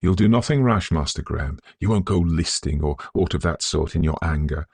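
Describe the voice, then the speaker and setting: A man's voice: low-pitched, expressive, vocal fry, darkish tone, slightly rough, no room sound, heavily acted. A man voicing a loyal, anxious Victorian manservant, earnest and deferential, in a ghost story audiobook. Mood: earnest concern. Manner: earnest, respectful, measured period phrasing.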